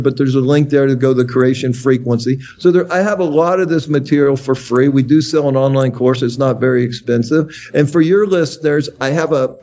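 A man's voice: medium pitch, dark tone. A man, a guest giving enthusiastic, coaching advice on a radio show. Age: 60s. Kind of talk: radio interview. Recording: dull lo-fi radio sound with faint music.

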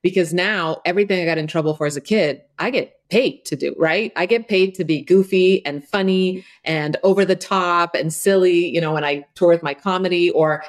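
A woman's voice: fairly low pitch, moderate pace, hardly any pauses. A middle-aged woman from Croatia, a podcast guest talking candidly and openly, often saying "you know" and "like". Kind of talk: conversational interview.

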